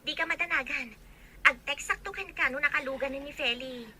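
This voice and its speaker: A woman's voice: sits high, a little fast, sharp tone. A woman playing a helpful, breezy friend of a young couple in an Ilocano audio drama. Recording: faint background noise.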